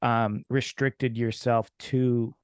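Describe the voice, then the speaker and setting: Man, from Ukraine, podcast host, halting, restarts often, dry sound. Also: mid-range voice, little pitch movement, smooth, no room sound. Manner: halting, many fillers and restarts. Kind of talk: casual interview.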